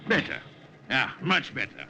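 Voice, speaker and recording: Swooping officer in old radio drama. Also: male voice, medium pitch, wildly swooping pitch, unhurried, vocal fry, sharp tone, full character voice. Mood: jovial, tipsy. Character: genial, tipsy German army officer, secretly shrewd and menacing. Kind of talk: 1950s radio drama acting. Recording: noisy, muffled old radio broadcast.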